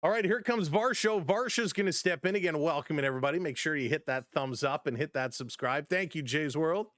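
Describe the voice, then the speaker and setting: A man hosting a casual live-stream baseball play-by-play, chatty and upbeat as he greets viewers, his voice slightly hoarse from overuse. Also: fairly high pitch, animated, fairly quick, a bit bright, no room sound, studio quality. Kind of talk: casual play-by-play with chat reactions.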